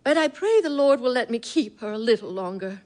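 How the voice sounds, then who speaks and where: A doting old auntie played by a woman in a theatrical audio drama. She speaks in a lively, swooping lilt, fond and fluttery, always gently prying. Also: on the high side, fairly quick, lightly acted. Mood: sorrowful. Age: older. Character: doting, prying, kindly old maiden aunt.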